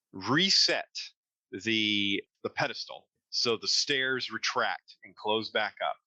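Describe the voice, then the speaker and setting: A man's voice: mid-range voice, bright, forceful tone, dry recording, rough recording. A man acting as game master, narrating a tabletop fantasy game in a casual, improvised way.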